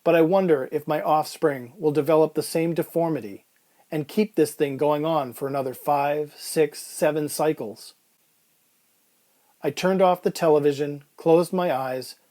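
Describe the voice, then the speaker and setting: A man's voice: fairly high pitch, fairly even intonation, moderate pace, dark tone, lightly acted. A man playing a weary, resigned dying man in a reflective radio-drama monologue. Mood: resigned acceptance, then drowsy, peaceful. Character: weary, resigned dying man telling his strange tale. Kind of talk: first-person dramatic monologue in old-time radio style.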